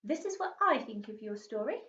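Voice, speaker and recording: A woman voicing a stern, scornful mother scolding her daughter in a storybook, haughty and sharp. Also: on the high side, sing-song, a little fast. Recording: muffled, echoey room, distant mic.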